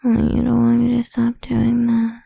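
A woman's voice: a little high, monotone, moderate pace, creaky, dark tone, slightly rough, no echo. A woman performing an intimate audio roleplay, mostly whispering close to the microphone in a dominant, teasing manner.

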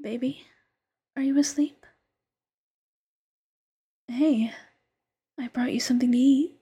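A woman voicing a doting, teasing fox girlfriend who mothers her partner, in soft coaxing whispered roleplay. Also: sits high, steady tone, slow pace, breathy edge, heavily acted. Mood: insistent.

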